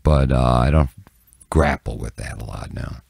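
Very deep, gravelly older man. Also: a little mellow, no room sound, clean studio sound. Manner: halting, self-interrupting, candid. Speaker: news anchor and reporter, podcast guest. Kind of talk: casual reflective interview answers. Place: small dim indoor podcast room. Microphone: close studio microphone on a boom.

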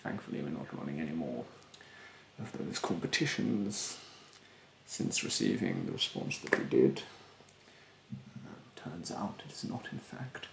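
A young man playing a rude, snobbish, fastidious facial therapist in a soft, half-whispered ASMR roleplay, haughtily polite and hesitant. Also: a bass voice, wildly swooping pitch, vocal fry, echoey room, slight background noise, pitched below the performer's own voice, exaggerated voice, low-quality recording. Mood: snide politeness. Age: young adult. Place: bedroom, indoors. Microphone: close mic in front.

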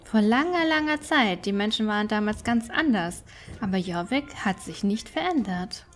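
A woman's voice: medium pitch, animated, normal speed, close to an everyday voice. A woman reading the part of an ancient, aloof, wise witch in a fantasy game, with formal phrasing. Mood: wistful.

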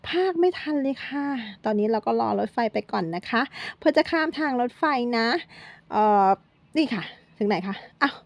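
A woman's voice: fairly high pitch, animated, moderate pace, gravelly, darkish tone, no room sound. A middle-aged woman narrating a day trip in a chatty vlog, talking straight to her viewers and joking as she corrects herself. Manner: chatty, addresses viewers constantly, playful self-corrections. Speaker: vlogger.

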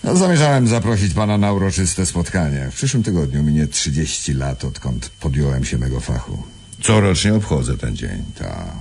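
An actor playing a scheming imprisoned crime boss in a radio drama, mock-polite and domineering. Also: male voice, a bass voice, very animated, normal speed, vocal fry, a little mellow, slight background noise, exaggerated voice. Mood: falsely courteous. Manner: mock-polite, turning bossy and impatient.

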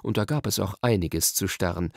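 A man's voice: deep voice, brisk, gravelly, no echo, clean studio sound. A man reading a children's fantasy novel aloud as an audiobook narrator. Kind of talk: scripted storybook narration.

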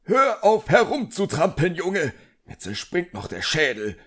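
A man voicing an irritable older male magician, loud, barking and exasperated in an audiobook. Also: sits high, wildly swooping pitch, a little slow, husky, pitched above the performer's own voice, heavily acted. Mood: angry. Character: irritable, domineering older male magician scolding his apprentice.

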